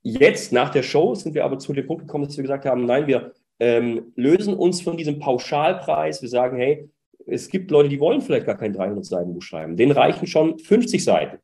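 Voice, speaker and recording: A man's voice: medium pitch, expressive, brisk, dark tone. A man speaking as a startup founder in an interview, giving conversational, detailed explanations of his experiences.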